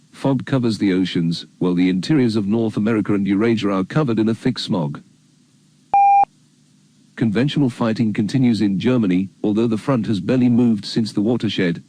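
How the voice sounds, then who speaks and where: Male narrator, lowish and very mellow, for a sober educational filmstrip; measured, factual, scripted read. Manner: grave, measured, matter-of-fact reading.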